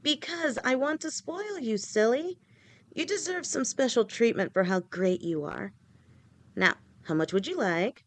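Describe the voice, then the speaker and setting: A woman playing an affectionate lamia girlfriend in an audio roleplay, her voice swooping up and down with lively expression. She speaks slowly and lingers on her words, teasing with sweet pet names. She stammers and rambles whenever her nerves get the better of her. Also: fairly high pitch, dry recording. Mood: cheerful, doting. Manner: stammers and rambles when nervous. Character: doting, playful adult female lamia girlfriend. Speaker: voice actor. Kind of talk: listener-directed audio roleplay.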